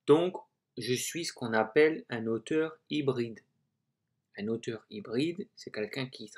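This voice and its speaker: Candid man presenting on writing. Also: medium pitch. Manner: candid, conversational, with fillers. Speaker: author giving a writing talk. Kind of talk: slide presentation.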